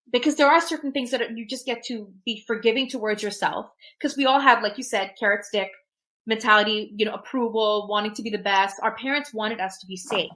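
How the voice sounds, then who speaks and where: A woman's voice: medium pitch, bright, forceful tone, poor audio. A woman whose first language is Urdu, talking through personal experiences and advice in an emphatic, reflective way and often checking in with "right?". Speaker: podcast guest, technologist.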